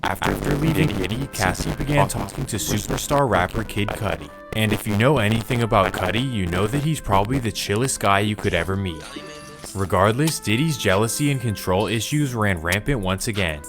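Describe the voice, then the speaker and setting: A man narrating a documentary from a script, measured and dramatic. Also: on the low side, lively intonation. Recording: narration over loud music.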